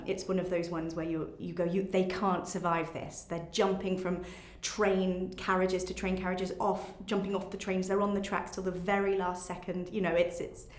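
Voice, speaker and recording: Female, young adult, English accent, slightly low voice, enthusiastic interviewee, roomy sound. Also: little pitch movement, moderate pace, hardly any pauses. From England. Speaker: actress. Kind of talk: casual interview talk.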